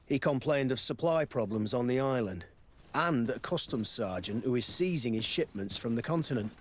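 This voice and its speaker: An actor voicing a deferential garrison quartermaster in a video game, formal and businesslike. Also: male voice, mid-range voice, lively intonation, normal speed, close to an everyday voice. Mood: serious.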